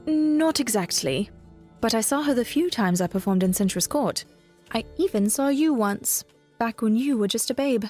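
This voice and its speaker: A woman playing a guarded, wistful bard in an audio drama, speaking gently and in measured phrases. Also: on the high side, expressive, faint music behind. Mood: gentle, wistful.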